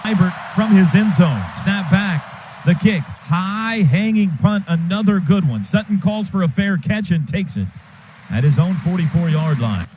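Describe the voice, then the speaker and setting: A man with a high voice calls a football game play by play from a stadium booth. His delivery comes in rapid, clipped bursts. The radio broadcast carries a noticeable hiss of stadium noise behind him. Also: lively intonation, a little mellow. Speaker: play-by-play announcer.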